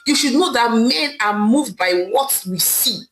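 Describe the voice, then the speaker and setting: A woman's voice: on the high side, expressive, a little slow, fairly bright tone, slight background noise. A woman voicing an angry husband who mocks and scolds his wife. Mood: angry scolding. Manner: scolding, mocking. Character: scornful husband, angry and dismissive.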